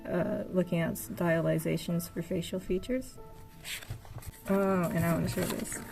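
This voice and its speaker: Young woman flipping thru sketchbooks, kinda hesitant, lowish fried voice, game music playing faint behind. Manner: soft-spoken, hesitant, lots of fillers. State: voice getting tired. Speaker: art vlogger. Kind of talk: casual sketchbook tour narration. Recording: voice over background game music.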